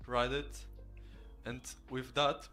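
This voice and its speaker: A man's voice: medium pitch, little pitch movement, slow pace, bright, forceful tone, faint music behind. A man whose first language is Polish, giving earnest step-by-step study tips in a tutorial video. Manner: earnest, instructive.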